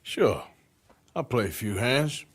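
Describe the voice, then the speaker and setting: An actor playing a gruff, sardonic American outlaw with a folksy drawl in a western game. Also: male voice, medium pitch, animated, takes it slowly, no room sound. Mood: cocky. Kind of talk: western video game acting.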